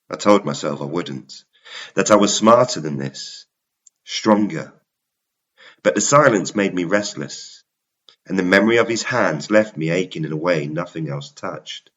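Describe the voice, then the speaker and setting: A man narrating a scripted first-person story in a measured, dramatic, confessional manner. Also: sits low, muffled recording.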